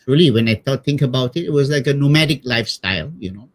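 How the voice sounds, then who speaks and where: A middle-aged man with a Malaysian accent speaks as an interviewed missionary priest. His voice has an average pitch. He tells his own story casually and hesitantly, repeating words and adding little conversational tags. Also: animated, quick pace.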